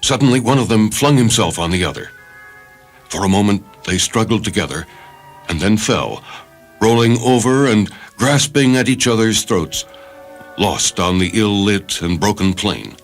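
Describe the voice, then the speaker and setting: A man's voice: fairly low pitch, lively intonation, moderate pace. A man reading a literary short story as narrator, with dramatic scripted delivery. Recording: faint music behind the narration.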